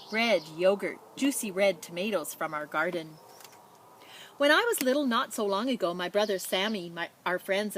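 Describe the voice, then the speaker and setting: A woman reading a children's picture book aloud in a measured, expressive storytelling manner, with spoken asides. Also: medium pitch, slight background noise. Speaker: storybook reader.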